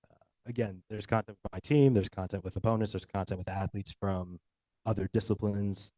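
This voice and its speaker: A man's voice: lowish voice, fairly even intonation, a little fast, dark tone, no echo. An American man giving a presentation as an athlete at a workshop, speaking to an audience. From United States. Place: live talk at a workshop.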